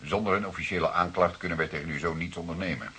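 An actor playing a composed, probing police detective in a Dutch radio play, speaking formally and deliberately with courteous insistence. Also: male voice, sits low, steady tone, bright, forceful tone, husky, full character voice.

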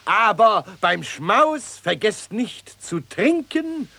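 Jovial bossy old farmer dad, radio play, slow with big swoops up and down. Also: male voice, sits high, sing-song, vocal fry, pushed and bright, dry recording, full character voice. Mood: jubilant. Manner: theatrical declamation, blustering then coaxing. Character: earthy, jovial old winegrower and father, bossy. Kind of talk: 1960s radio play acting.

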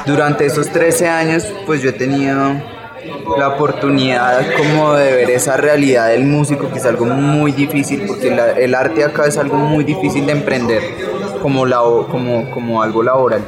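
A Colombian man in his twenties speaks casually as an interviewee, thinking aloud. His voice is mid-pitched, and loud instrumental music plays behind him. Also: fairly even intonation, normal speed. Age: 20s. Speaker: street drummer interviewee. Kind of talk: casual spoken testimony.

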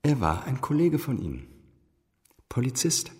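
An actor playing a wealthy, guarded businessman in a radio drama, formal and quick to take offence. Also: medium pitch, very animated, darkish tone. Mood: guarded, businesslike. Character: wealthy businessman father, guarded, indignant when questioned. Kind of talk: German radio drama acting. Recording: reverberant room.

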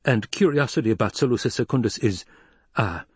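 A man's voice: mid-range voice, lively intonation, brisk, darkish tone, husky, no room sound, voice pushed up. A man voicing a shrewd, bony old adviser in an audiobook, incisive, probing and contemptuous. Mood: probing, accusing. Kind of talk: dramatized audiobook reading.

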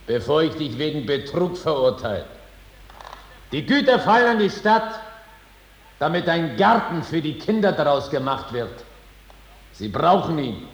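An actor playing a roguish, imperious judge in a declamatory 1950s German radio drama style, in an echoey old recording. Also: male voice, fairly high pitch. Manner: declamatory, commanding. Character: roguish, imperious male judge pronouncing a verdict.

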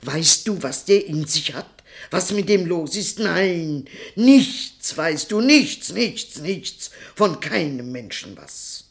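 An actress playing a bitter, outspoken old grandmother in a German radio drama, halting and emphatic, repeating words for weight. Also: lowish voice, animated. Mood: agitated, accusing. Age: older.

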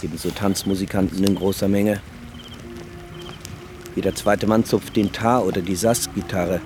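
An upbeat camp organiser in a radio play, in a lowish voice. He sounds practical, with clear music playing behind him. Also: fairly even intonation, moderate pace. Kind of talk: radio drama acting.

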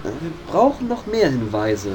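A man playing a pompous, scatterbrained boy detective who deduces grandly and haltingly in an amateur parody radio play. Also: a little high, wildly swooping pitch, darkish tone. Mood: pondering. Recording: noisy amateur recording.

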